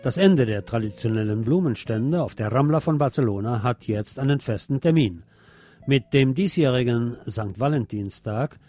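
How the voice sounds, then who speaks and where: A man's voice: mid-range voice, dark tone. A man presenting scripted magazine news on the radio, with a wry, ironic touch in his delivery.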